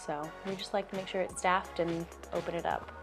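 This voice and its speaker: A woman's voice: fairly low pitch, fairly even intonation, brisk, over music. A woman on a museum staff explaining family activities in an interview, friendly, with fillers. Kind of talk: interview answers.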